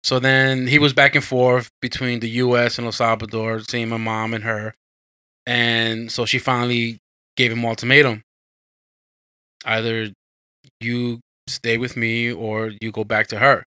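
A man's voice: medium pitch, little pitch movement, measured pace, sharp tone, smooth, no room sound. A man from the United States hosting a podcast in a casual, chatty style. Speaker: podcast host. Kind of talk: casual chat.

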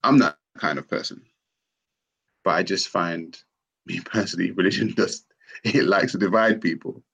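Gravelly, husky skeptical man. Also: low-pitched, normal speed, a bit bright, dull audio. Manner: skeptical, questioning, argues with rhetorical questions. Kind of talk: casual conversation.

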